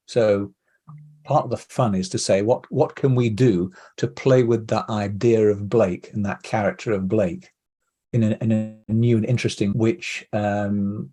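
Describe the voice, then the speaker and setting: A man's voice: fairly low pitch, mellow, husky. A man giving hesitant, discursive explanations as the guest in a casual interview. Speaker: interview guest, audio drama producer.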